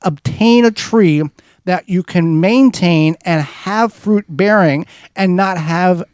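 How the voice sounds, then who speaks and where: A man's voice: high-pitched, slow pace, darkish tone, smooth, no room sound, clean studio sound. An American man co-hosting a radio show, giving casual advice in an emphatic, opinionated way. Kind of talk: casual gardening advice chat.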